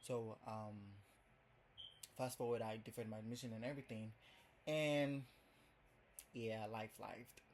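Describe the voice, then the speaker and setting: A man's voice: on the low side, fairly even intonation, unhurried, pauses often, a little hiss or hum, poor audio. A young man from Ghana with a Ghanaian accent, rambling casually in a first video blog, full of "like" and "um". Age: young adult. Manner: chatty, rambling, heavy on fillers. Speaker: vlogger. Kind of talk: casual first vlog to camera.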